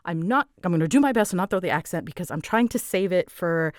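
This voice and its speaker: Lowish voiced girl chatting away, dramatic n all over the place, kinda self-deprecating, dry close mic. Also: quick pace, dry recording. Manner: animated, dramatic, voice swoops up and down; scattered, self-deprecating, giggly. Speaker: ASMR audio creator and voice performer. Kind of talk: casual rambling Q&A chat. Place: small closet used for recording.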